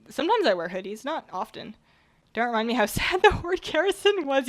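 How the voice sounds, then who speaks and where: A young woman from British Columbia streaming from her home kitchen, chatting casually and rambling while she bakes and reads chat, her voice worn from a recent cold. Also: on the high side. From British Columbia, Canada. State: recovering from a cold.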